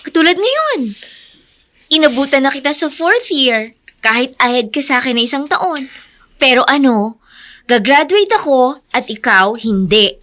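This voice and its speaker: A woman's voice: high-pitched, expressive, unhurried, pauses often, bright, forceful tone, exaggerated voice. An actress playing a frank young girlfriend who teases and scolds, in a radio drama. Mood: frank, chiding. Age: young adult.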